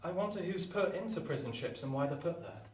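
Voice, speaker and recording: Man acting a high-voiced, timid English boy. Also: expressive, fairly quick, echoey room, distant mic, a little hiss or hum, lightly acted. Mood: curious. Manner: earnest, hesitant, questioning. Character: timid, inquisitive, polite young village boy. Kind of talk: radio play acting.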